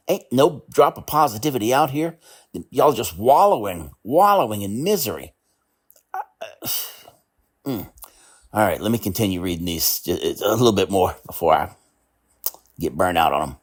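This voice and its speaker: Low-voiced exasperated man vlogging. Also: sing-song. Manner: exasperated, drawn-out asides. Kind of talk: casual commentary reading video titles aloud.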